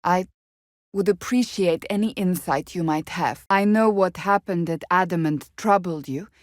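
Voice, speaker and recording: Stern, low-voiced warrior woman played by an actress. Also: no room sound, clean studio sound, lightly acted. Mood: solemn, measured. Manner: formal, measured, earnest diction. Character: stern, devout, forthright warrior woman of strong convictions. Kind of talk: fantasy video game voice acting.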